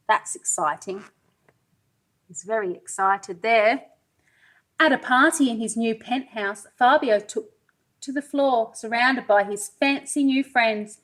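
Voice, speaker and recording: A woman reading a children's storybook aloud as a pre-school teacher, expressive and sing-song, pausing to ask her young listeners questions. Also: on the high side. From Wales.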